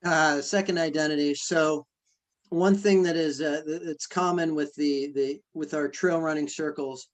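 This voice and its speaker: A man talks things through in a thoughtful interview, stopping and restarting often and filling gaps with small fillers. His voice sits a little high for a man. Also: steady tone. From United States. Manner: halting, reflective, many fillers and restarts. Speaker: guest, ultrarunner. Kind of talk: casual interview conversation.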